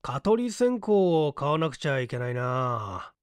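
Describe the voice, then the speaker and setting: Man playing a cool, breezy young man, lively intonation. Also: medium pitch, a little slow, clean tone, no room sound, clean studio sound. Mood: casual bluffing. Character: cool, quick-witted young son, casually bluffing.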